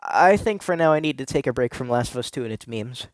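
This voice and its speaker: A man narrates a first-person story with earnest drama, as if confessing. His voice sits a little low and the recording is dry and close. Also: animated, quick pace, no room sound. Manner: theatrical, earnest confessional delivery.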